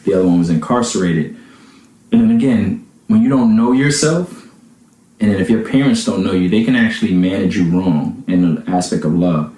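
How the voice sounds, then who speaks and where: A man's voice: deep voice, expressive, moderate pace, dark tone. A man in his thirties speaking casually and conversationally as a guest in an interview. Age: 30s. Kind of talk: casual chat. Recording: echoey room.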